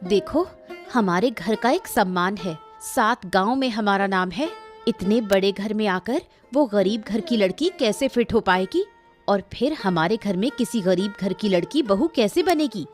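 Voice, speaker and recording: A woman performs a haughty middle-aged village wife in a cartoon moral tale. She lectures with sarcasm and disapproval at an ordinary female pitch, with light music behind her. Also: expressive, unhurried, natural voice. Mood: disapproving. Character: proud, status-minded, scornful middle-aged village wife. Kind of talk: Hindi cartoon moral story dialogue.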